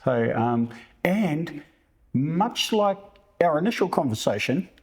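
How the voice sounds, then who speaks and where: A man's voice: fairly high pitch, sing-song, slow, breathy edge. A man hosting a casual interview, with frequent affirmations and hesitant, searching questions. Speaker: podcast host.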